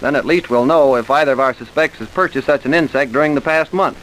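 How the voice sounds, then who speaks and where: A man's voice: mid-range voice, close to an everyday voice, rough recording. A man playing a dogged police inspector. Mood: insistent. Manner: brisk, probing questioning. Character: dogged male police inspector, thorough and insistent. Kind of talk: 1940s radio drama acting. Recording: hissy old radio recording.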